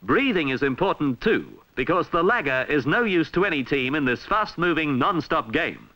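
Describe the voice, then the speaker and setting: A man with an Australian accent reading a scripted 1960s instructional film narration in a formal, measured, emphatic way, on a vintage film soundtrack. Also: a little high, very animated, bright, forceful tone.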